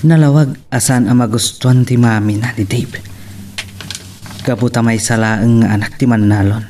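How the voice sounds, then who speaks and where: A man's voice: mid-range voice, fairly even intonation, moderate pace, darkish tone, slight background noise, natural voice. A man with an Ilocano accent playing a musing storyteller in a radio drama.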